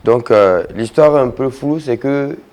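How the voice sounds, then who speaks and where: A young man from Togo, a rapper being interviewed, answering with halting pauses and fillers. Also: mid-range voice, a little mellow. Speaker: guest rapper.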